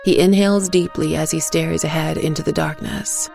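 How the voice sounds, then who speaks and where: A woman narrating a scripted dark story in the first person, in a measured, deliberate way. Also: deep voice, fairly even intonation, vocal fry, soft background music. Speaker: narrator of an audio fiction story.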